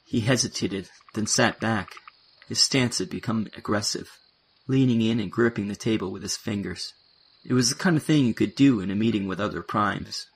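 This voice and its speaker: A man with a gravelly, husky voice reading scripted third-person narration for an audio drama. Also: on the low side, slight background noise, rough recording.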